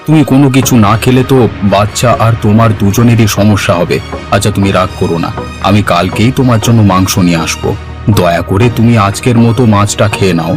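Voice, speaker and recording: A man's voice: lowish voice, brisk, music in the background, natural voice. A man voicing a haughty, anxious rich village husband in a cartoon story, fussy and exclamatory. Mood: anxious, placating. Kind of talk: Bengali cartoon story voice acting.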